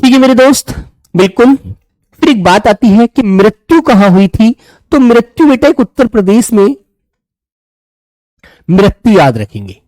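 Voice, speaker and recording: A man's voice: high voice, very animated, moderate pace, pauses often, a little mellow, no echo, poor audio. A man teaches an online exam class. His throat is a little sore. He checks in fondly with his students and repeats key phrases for note-taking. The sound is close and dry. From Uttar Pradesh, India. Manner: affectionate check-ins with students as "beta". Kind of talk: live online lesson, addressing students.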